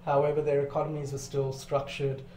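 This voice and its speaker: A man's voice: a little high, monotone, brisk, a little mellow. A man talking casually as a podcast guest, explaining things articulately and swearing now and then. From born in Zambia, lived in Botswana as a child, then grew up in Australia. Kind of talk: casual interview storytelling. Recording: roomy echo, clear background noise.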